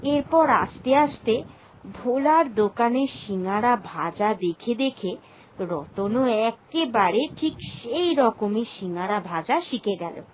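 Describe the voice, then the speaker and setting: Slow, high-voiced woman storyteller. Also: lively intonation, slight background noise, poor audio. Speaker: narrator. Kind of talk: scripted children's story narration.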